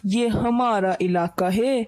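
A woman voicing a big, sly, hungry male snake in a children's cartoon, scheming and falsely friendly with drawn-out endings. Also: medium pitch, darkish tone, natural voice.

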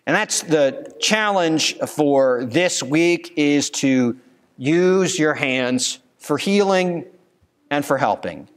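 Slow-talking pastor preaching from a pulpit. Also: male voice, a little high, animated. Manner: earnest preaching with rhetorical questions. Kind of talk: sermon. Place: church sanctuary, at a pulpit. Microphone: pulpit microphone.

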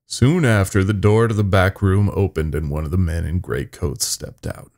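A man's voice: sits low, normal speed, a little mellow. A man narrating a first-person horror story in a weary, haunted, folksy storytelling manner.

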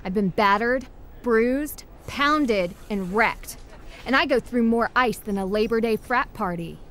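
A woman's voice: fairly high pitch, a little slow, fairly bright tone, slight background noise. An actress voicing a cocky, tough female wrestling trainee who taunts a newcomer in a video game. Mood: dismissive. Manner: dismissive, taunting. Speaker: rival wrestler.

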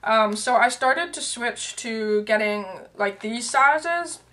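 A young woman talking straight into a webcam in a small room, her voice bright and a touch high. She rambles casually with fillers and side remarks, and her voice sounds worn and fading. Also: expressive, takes it slowly. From Canada. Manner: rambling, lots of fillers and asides. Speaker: beauty and tea vlogger. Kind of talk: casual chatty vlog. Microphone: webcam at arm's length.

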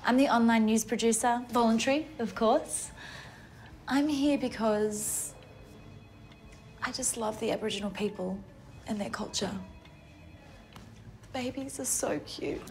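A young Australian actress playing a naive, earnest volunteer producer who talks eagerly and breathlessly. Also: female voice, on the high side, a little slow, long pauses, darkish tone, faint music behind. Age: young adult. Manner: eager, breathless, well-meaning. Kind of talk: TV comedy acting.

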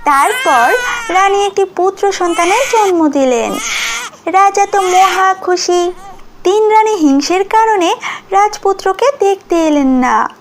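A female storyteller reads scripted cartoon fairy-tale narration. Her voice is extremely high, almost childlike in pitch, and she takes it very slowly. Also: animated, slight background noise.

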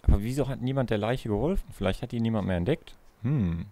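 Low-voiced man commenting on a game. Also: animated, fast, mellow, no room sound. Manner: musing aloud, playful. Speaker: let's play host. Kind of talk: casual gameplay commentary. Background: game music.

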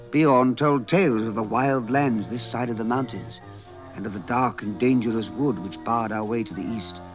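A man's voice: mid-range voice, lightly acted. An actor playing a reflective adventurer recounting his own journey in a dramatised fantasy tale. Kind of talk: first-person dramatised narration.

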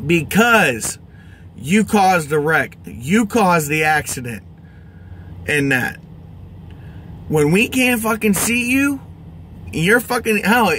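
A man's voice: high-pitched, fairly bright tone, a little hiss or hum. A middle-aged American man, a truck driver, ranting to camera in an exasperated, emphatic, heavily profane way. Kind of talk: angry vlog rant. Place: inside a truck cab.

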